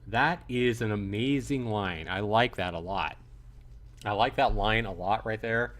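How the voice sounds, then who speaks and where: A man's voice: on the low side, unhurried, fairly bright tone. A man streaming a live game playthrough, commentating emphatically and repeating his phrases for emphasis. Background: game music.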